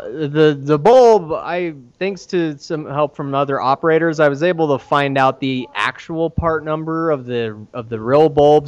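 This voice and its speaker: A man co-hosting a podcast, explaining things in a matter-of-fact way in casual, unscripted shop talk. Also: mid-range voice. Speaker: podcast co-host, arcade operator. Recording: dull lo-fi voice recording.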